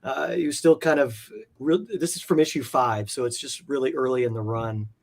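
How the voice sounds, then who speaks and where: Male collector guest, mid-pitched, earnest and halting, casual conversational delivery that repeats itself for emphasis. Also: fairly even intonation, creaky, darkish tone. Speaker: guest, comic art collector. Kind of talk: casual interview chat.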